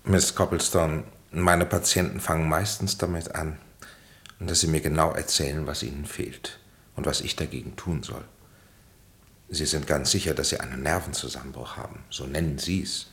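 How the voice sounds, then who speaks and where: A very deep, grave psychiatrist. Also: male voice, steady tone, a bit bright. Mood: calm, authoritative. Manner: measured, formal, weighty pronouncements. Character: grave, authoritative male psychiatrist, calm and oracular. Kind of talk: German radio play acting.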